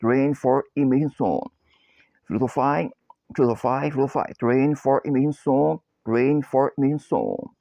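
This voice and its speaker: Brazilian guy chanting the same lines again and again, broken english, dark mellow voice, dry dull recording. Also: medium pitch, steady tone, normal speed, creaky, no echo. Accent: Brazilian Portuguese. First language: Portuguese. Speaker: copywriter. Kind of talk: repetitive chanted self-introduction.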